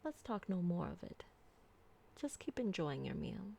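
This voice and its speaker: A woman playing an ancient, refined and doting female vampire in an intimate ASMR roleplay, soft-spoken and tender, with genteel, formal wording. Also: fairly low pitch, sing-song, a little slow, creaky, slight background noise, heavily acted. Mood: tender, reassuring.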